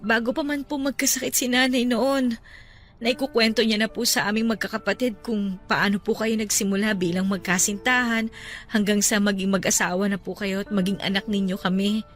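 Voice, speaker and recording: An actress playing a gentle, respectful young adult daughter consoling her father in an emotional radio drama, earnest and pleading. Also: medium pitch, fairly even intonation, a little fast, lightly acted. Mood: affectionate, reassuring. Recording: music under the voice. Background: music.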